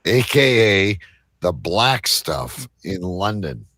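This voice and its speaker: A man's voice: sits low, big pitch swings, slow pace, a bit bright, no room sound, clean studio sound. A man hosting a radio show with casual, joking, blunt banter and some swearing.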